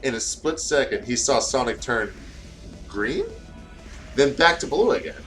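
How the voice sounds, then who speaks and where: A man's voice: mid-range voice, animated, measured pace, fairly bright tone, soft background music. A tired man co-hosting and reading fan fiction aloud in a casual way, stumbling over words and adding joking asides. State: tired, short of sleep.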